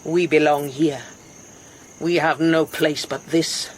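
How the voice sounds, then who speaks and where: Slow, imperious Spanish noblewoman. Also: low voice, steady tone, slight background noise. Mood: brooding, seductive. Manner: slow, commanding, brooding. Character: languid, imperious older Spanish noblewoman, sun-weary mother. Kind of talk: radio drama acting.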